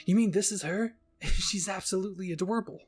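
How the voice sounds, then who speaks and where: Man putting on an exuberant, high-pitched gushing woman. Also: fairly quick, husky, soft background music. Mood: gushing, excited. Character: exuberant adult woman officer, gushing and affectionate. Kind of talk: anime fan-fiction roleplay reading.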